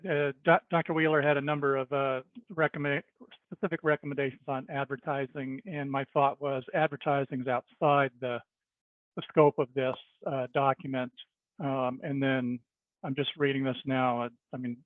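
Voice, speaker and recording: A man's voice: medium pitch, flat delivery, fairly quick, no echo. A man speaking hesitantly at a committee meeting, often restarting his sentences, heard over a video call. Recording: dry tinny phone-like line.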